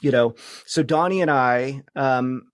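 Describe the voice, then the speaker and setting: Male interview guest. Average pitch, informal and a little halting as he explains, very dry sound. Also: dry recording. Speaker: podcast guest, producer. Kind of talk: casual interview talk.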